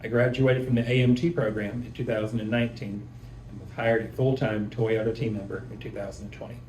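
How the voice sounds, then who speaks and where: A young man from Kentucky speaks quickly in a slightly low voice, crisp and matter-of-fact. The room echoes, and clear plant noise hums behind him. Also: steady tone, a little mellow. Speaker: reliability team member. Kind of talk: scripted testimonial. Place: manufacturing plant.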